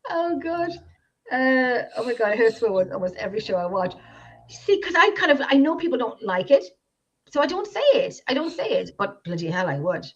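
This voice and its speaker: A woman's voice: fairly high pitch, very animated, fairly quick. A chatty, giggly woman with an Irish accent, co-hosting a casual live-stream chat over a home computer microphone. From Ireland. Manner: giggly, chatty, self-deprecating. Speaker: podcast co-host. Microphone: home computer microphone on a video call. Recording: dull lo-fi online call audio.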